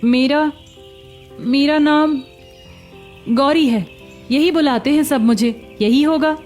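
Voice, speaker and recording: High-voiced young beggar woman, plaintive yet sharp-tongued actress's role. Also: slow, soft background music. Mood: sad, confused. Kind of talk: Hindi cartoon story acting.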